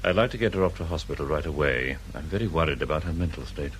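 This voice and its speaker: A man's voice: rumbling low, lively intonation, fast talker, a little hiss or hum, rough recording. A man playing a concerned but firm doctor in a radio crime drama.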